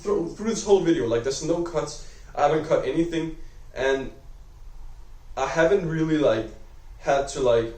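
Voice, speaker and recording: A young man with a Danish accent talks unscripted to a camera, rambling and filling every pause with "like" while he corrects himself. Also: fairly high pitch, darkish tone, far from the mic. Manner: rambling, heavy use of "like", self-correcting. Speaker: vlogger. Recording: echoey room, voice off-mic.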